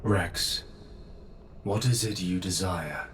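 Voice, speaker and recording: An actor playing a weary, ancient creator in a video game cutscene, calm, solemn and remorseful in a measured, philosophical manner. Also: male voice, deep voice, recorded from a distance, a little hiss or hum, full character voice. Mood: grave. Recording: echoing cavernous hall, music behind.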